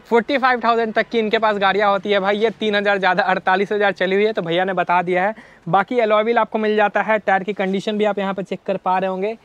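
Young host, higher-pitched, chatty, slips in English, lapel mic outdoors. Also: male voice, fairly bright tone. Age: young adult. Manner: chatty, mixes English phrases, addresses viewers as brothers. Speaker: car vlogger host. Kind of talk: casual walkaround car showcase. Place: outdoor used-car lot. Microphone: clip-on lapel mic.

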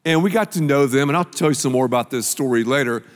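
A man's voice: medium pitch, fast talker. An older man, a pastor, telling a story in a stage sermon dialogue on a large church stage with a handheld mic. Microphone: handheld microphone. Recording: long hall reverb.

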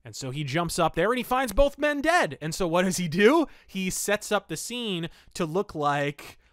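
A man's voice: high voice, very animated, normal speed, a bit bright, no echo, clean studio sound. A man hosting a podcast, reading pulp fiction aloud and reviewing it. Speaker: podcast host.

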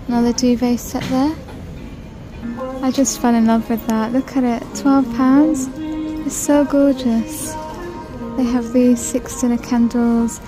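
A woman vlogging a walk through a supermarket on her phone, chatting and gushing over items as she reads out prices. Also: a little high. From United Kingdom. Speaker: shopping vlogger. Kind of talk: shop-with-me walkthrough narration. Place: large supermarket, indoors.

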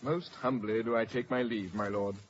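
A man's voice: mid-range voice, fairly even intonation, normal speed, close to an everyday voice. An actor playing an earnest, protective young nobleman in emphatic radio Shakespeare. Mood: earnest, cautioning. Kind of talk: 1950s radio Shakespeare acting. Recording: muffled, hissy old radio broadcast.

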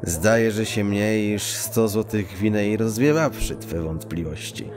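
An actor playing a scheming, chummy street thief who talks in quirky, halting, mock-archaic phrases. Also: male voice, fairly low pitch, over music, close to an everyday voice. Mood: sly, confident. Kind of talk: acted fantasy audio drama dialogue.

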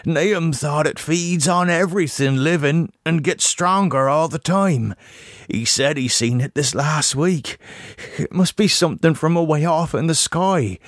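Man voicing a higher-pitched frightened old rustic farmer. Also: unhurried, no echo, studio quality, natural voice. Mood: fearful, urgent. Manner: urgent, whispered warning in folksy rural dialect. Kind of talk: audiobook character voice.

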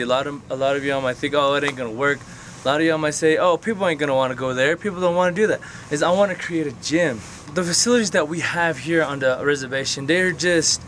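A man's voice: medium pitch, fairly bright tone, slight background noise. A man in his twenties talking casually to his camera outdoors, rambling and frank, swearing now and then. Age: 20s. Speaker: vlogger. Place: outdoors on open flat ground. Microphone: camera mic, close framing.

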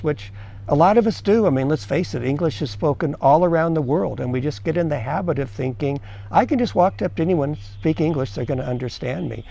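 A man's voice: mid-range voice, gravelly, mellow. A middle-aged man from the United States, an expat giving earnest, conversational advice to viewers and asking them questions. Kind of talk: casual advice to camera.

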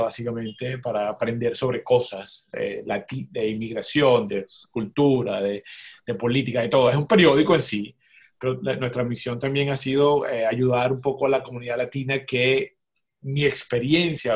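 A man speaks on a video call in a mid-range voice. He works as a journalist and often restarts or repeats words as he explains his project. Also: mid-range voice. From Venezuela. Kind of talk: casual self-introduction.

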